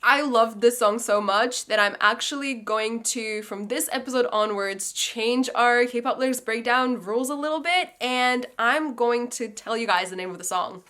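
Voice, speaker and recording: A woman's voice: on the high side, normal speed, hardly any pauses, a bit bright. A young woman, a native Russian speaker, casually breaking down song lyrics as a learner, thinking aloud and correcting herself as she goes. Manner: chatty, self-correcting, thinks aloud while translating. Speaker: language learning host.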